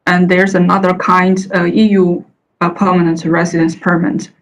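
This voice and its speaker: Casting: woman, native chinese speaker, lowish voice, lots of uh's, informal talk. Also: flat delivery, moderate pace, low-quality recording. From China. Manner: hesitant, many uh fillers and restarts. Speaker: panel speaker. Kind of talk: presentation talk. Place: the Netherlands.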